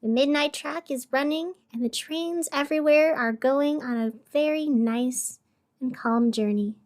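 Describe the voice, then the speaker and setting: Woman acting high-voiced, emotional female radio host. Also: unhurried, darkish tone. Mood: soothing, gentle. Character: female radio host, wounded, fiery, turning soothing on air. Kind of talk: audio drama acting.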